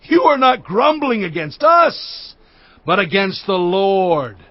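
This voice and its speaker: A man's voice: sits high, big pitch swings, slow pace, no room sound, full character voice. A man playing Moses as a stern leader, speaking in an earnest, emphatic way, on dull audio with faint music. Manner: earnest, emphatic preaching delivery.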